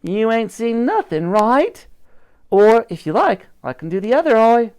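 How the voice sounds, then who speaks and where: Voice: medium pitch, very animated, measured pace, darkish tone, clean tone, voice pushed up. A storyteller voicing a tough young street girl from a children's gang who makes cocky, slangy threats. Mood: menacing.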